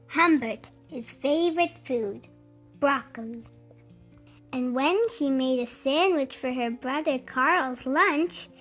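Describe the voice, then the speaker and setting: Female children's storyteller, high and bright, unhurried and animated, light music underneath. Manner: animated, deliberate, enunciated storytelling. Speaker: storyteller reading a children's book aloud. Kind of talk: expressive read-aloud for young children. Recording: music behind the voice.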